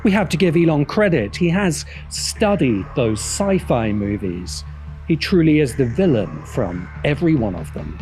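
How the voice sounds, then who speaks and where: A man's voice: a little high, very animated, normal speed, darkish tone. A man delivering scripted polemical commentary as a video essay host, with a dramatic, scornful, emphatic manner. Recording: music bed behind voice. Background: background music.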